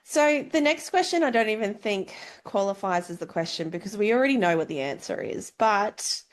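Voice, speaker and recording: A woman's voice: mid-range voice, expressive, moderate pace. A woman hosting a casual book discussion in a playful, teasing manner. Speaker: book club podcast host.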